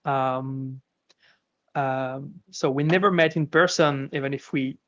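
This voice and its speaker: A man's voice: medium pitch, steady tone, slow pace, a bit bright, lo-fi sound. Hesitant male host, filler-heavy. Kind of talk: interview hosting.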